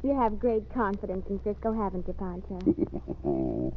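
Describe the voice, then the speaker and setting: A woman's voice: medium pitch, huge pitch swings, measured pace, a little hiss or hum. A woman playing an earnest, sweet young frontier woman in an old radio western, with an American accent. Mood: admiring, wistful. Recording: muffled, narrow-band old radio recording.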